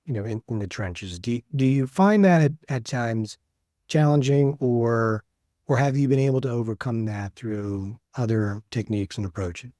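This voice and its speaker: Guy hosting a podcast, curious and chatty, warm mellow voice, dry mic. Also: mid-range voice, normal speed, no echo. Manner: curious, conversational questioning with restarts. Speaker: podcast host. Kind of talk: interviewer questions.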